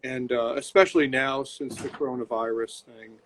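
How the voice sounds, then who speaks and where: A man's voice: medium pitch, steady tone, moderate pace, fairly bright tone, slight background noise, rough recording. Rambling older man on a video call. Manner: rambling, repeats words and restarts. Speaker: bootmaker and shop owner. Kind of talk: casual interview chat. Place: home workshop.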